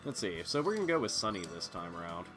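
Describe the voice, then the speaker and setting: A man's voice: sits low, very animated, quick pace. A congested man hosting a gaming channel, chatting casually over gameplay in a rambling, profane way with lots of fillers. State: congested from illness. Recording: loud game music underneath. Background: loud racing game music.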